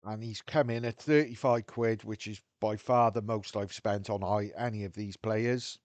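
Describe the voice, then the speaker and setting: A man's voice: lowish voice, steady tone, normal speed, darkish tone, dry recording. A man with a Welsh accent talking frankly to his viewers, thinking aloud as he goes. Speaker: video host. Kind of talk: casual talk to camera.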